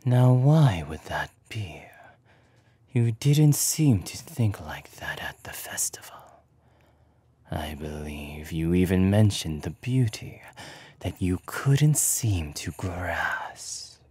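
A man voicing a menacing, possessive demon in a breathy ASMR roleplay whisper, coolly polite and formal. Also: sits low, animated, dark tone, dry recording, heavily acted. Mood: softly menacing. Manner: slow, formal, deliberate.

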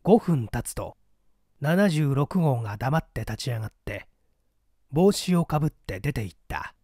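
A man's voice: mid-range voice, big pitch swings, a little slow, darkish tone, no room sound, studio quality. A man reading a mystery story aloud as dramatic scripted narration. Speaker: audiobook reader.

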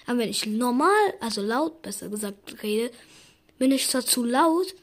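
A hoarse young woman giving casual gaming commentary, excitable and yelping at results, slipping into a raspy near-whisper when she talks quietly. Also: on the high side, moderate pace. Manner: excitable, shrieks and groans at spin results. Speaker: gaming YouTuber.

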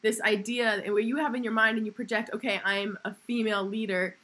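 A woman's voice: medium pitch, fairly even intonation, fairly quick, sharp tone. A woman hosting a podcast interview, asking long questions and affirming her guest often. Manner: affirming, frequent backchannels. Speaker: podcast host. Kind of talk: conversational interview.

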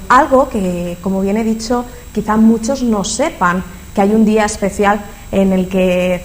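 A woman's voice: mid-range voice, poor audio. Brief: young Spanish-accented woman, local TV presenter, formal with hesitations, faint hiss. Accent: Spanish from Spain. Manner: formal, scripted openings with hesitant fillers. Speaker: TV interviewer. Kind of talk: local TV interview. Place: local TV studio.

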